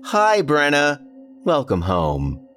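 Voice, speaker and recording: A man voicing a calm, gently wry mother who speaks slowly and evenly. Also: on the high side, faint music behind, pitched above the performer's own voice, full character voice. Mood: calm, dry.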